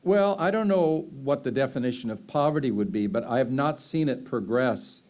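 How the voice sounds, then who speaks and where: A man's voice: medium pitch, lively intonation, moderate pace, darkish tone. A man, answering audience questions in a loose, rambling way full of fillers and restarts. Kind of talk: unscripted Q&A answers.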